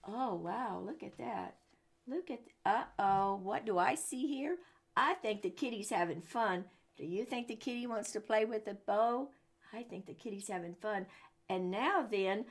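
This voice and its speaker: Gravelly-voiced woman telling a children's story. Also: medium pitch, a little slow. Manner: asks the young listeners rhetorical questions. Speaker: storyteller and religious teacher for children. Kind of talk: picture-book story time for children.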